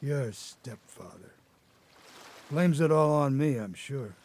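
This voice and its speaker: An actor playing a haunted old grandfather, an escaped convict telling his story haltingly in a radio drama. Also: mid-range voice, expressive, a little mellow. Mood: bitter, confiding. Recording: noticeable background noise.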